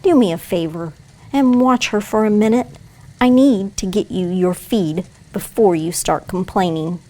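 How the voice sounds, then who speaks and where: A woman's voice: mid-range voice, measured pace, dark tone, close to an everyday voice, low-quality recording. Lady doing a tough bossy robber girl in an audio play, brusque, takes her time talking. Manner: brusque, commanding. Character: bossy, threatening robber girl with a hidden soft heart.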